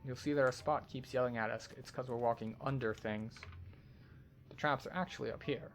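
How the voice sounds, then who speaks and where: A man's voice: mid-range voice, steady tone, a little fast. A man giving matter-of-fact walkthrough commentary as a game tour guide, explaining things as he goes. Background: game music.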